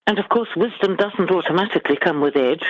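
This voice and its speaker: A middle-aged British woman, an author giving measured, formally phrased answers in a literary interview. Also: lowish voice, no room sound, muffled recording. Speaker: guest author.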